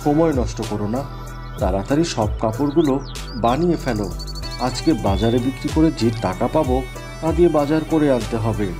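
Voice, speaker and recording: Lively, kind-hearted cartoon husband acted by a man. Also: medium pitch, normal speed, music in the background, close to an everyday voice. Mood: earnest. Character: kind-hearted poor tailor and helpful father mouse. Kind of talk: animated cartoon acting.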